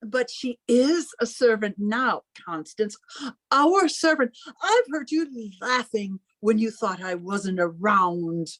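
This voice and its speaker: A woman's voice: mid-range voice, expressive, fairly bright tone, dry recording. A woman playing a controlling, martyred, sternly doting elder sister in an old-time radio drama, with a patronising manner. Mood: wounded, accusing. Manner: deliberate, patronising, smothering.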